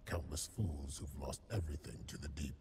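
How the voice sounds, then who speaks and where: Grim drowned assassin, rock-bottom pitch, creaky, menacing, half-whispered, video game, clear background noise. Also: male voice. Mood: brooding menace. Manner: slow, ominous, menacing, partly whispered. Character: grim vengeful drowned male killer from the deep sea. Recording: game audio with loud ambient noise.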